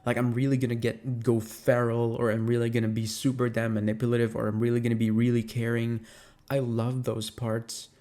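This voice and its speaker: A man whose first language is Tagalog talks in a medium-pitched voice. He is answering fan questions in a casual, chatty way. His manner is candid and playful, and he swears freely. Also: completely flat delivery, fairly quick, warm tone. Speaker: ASMR audio creator answering fan questions. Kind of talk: casual Q&A chat.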